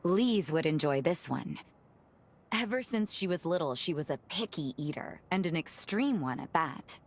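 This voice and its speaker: Expressive, low-voiced gentle vampire woman. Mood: fond, reflective. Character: gentle revenant woman, a vampire who loves cooking. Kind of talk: video game cutscene acting.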